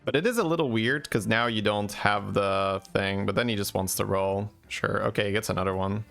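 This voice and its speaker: A man giving relaxed, chatty game commentary and answering chat questions, with faint music behind. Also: fairly low pitch, fairly quick, gravelly. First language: Dutch. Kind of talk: casual gameplay commentary.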